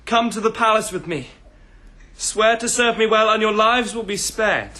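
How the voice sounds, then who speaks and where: An actor playing a proud young emperor in a radio drama, declaiming grandly and defiantly. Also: male voice, high-pitched, normal speed, pushed and bright. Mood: imperious.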